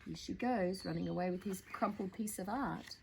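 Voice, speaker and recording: A woman's voice: mid-range voice, moderate pace, gravelly, darkish tone, a little hiss or hum. A woman presenting storytime, reading picture books in a playful, theatrical way with chatty asides to young listeners. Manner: playful, theatrical, with dramatic emphasis.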